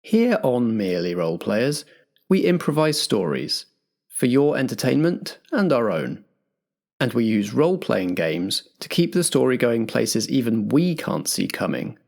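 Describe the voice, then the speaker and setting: A man's voice: medium pitch, very animated, normal speed, gravelly, darkish tone. A man introducing the show as its compere, in a theatrical announcer delivery. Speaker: host and compere.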